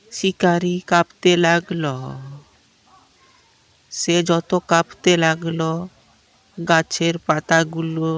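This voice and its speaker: Slow, smooth, even-toned woman narrator. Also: on the low side. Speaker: cartoon narrator. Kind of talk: scripted story narration.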